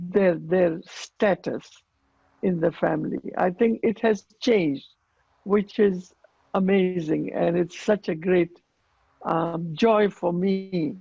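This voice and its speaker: An earnest woman speaks slowly, with a gravelly, fry-tinged voice. Her answers come with frequent pauses and fillers. The sound is dry and muffled, like lo-fi video call audio. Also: lowish voice, warm tone, no room sound. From Afghanistan. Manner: earnest, unhurried, many fillers. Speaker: panelist running girls' schools. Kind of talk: panel discussion answer.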